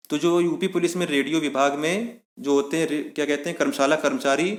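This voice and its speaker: A young man presenting an exam-coaching explainer, speaking steadily and restating points in other words. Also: fairly high pitch. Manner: steady explaining, often rephrasing with "matlab". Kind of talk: explainer on a job profile. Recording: echoey room.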